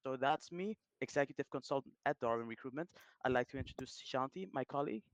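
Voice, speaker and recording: A man's voice: medium pitch, expressive, no echo, highs cut off. A man, a recruitment consultant hosting a webinar, giving a businesslike introduction. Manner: businesslike, brisk self-introduction.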